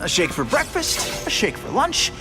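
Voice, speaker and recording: An actor playing a sarcastic, quippy young masked superhero in a video game cutscene. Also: male voice, high-pitched, wildly swooping pitch, normal speed. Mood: mocking. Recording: loud music behind.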